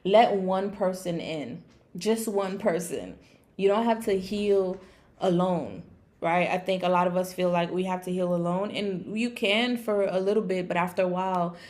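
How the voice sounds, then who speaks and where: A raspy-voiced woman with a slight cold, giving a casual, conversational tarot and astrology reading to viewers, with playful asides. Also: on the low side, mellow. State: getting over sneezing and coughing. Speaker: online tarot and astrology reader.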